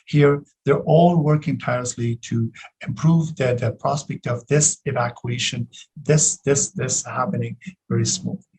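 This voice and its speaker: Male panelist, very raspy voice with gravel, halting delivery over a video call. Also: medium pitch, fairly even intonation, a little mellow, poor audio. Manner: halting, repeats himself. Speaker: panel speaker. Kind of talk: panel remarks.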